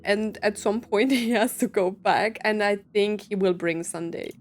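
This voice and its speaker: A woman's voice: mid-range voice, moderate pace. A woman giving casual gameplay commentary and reactions as a game streamer. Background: game music.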